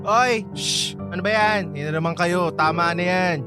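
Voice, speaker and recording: A man's voice: sits high, moderate pace, over music, natural voice. An actor playing a campy, sulky but playful young man in comedic radio drama banter. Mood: exasperated. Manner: sassy, exasperated, playful.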